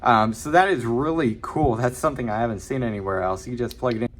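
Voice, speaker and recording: A man giving a casual, informal product review, talking in a halting way and hedging his opinions. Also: medium pitch. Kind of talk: casual unboxing and review talk.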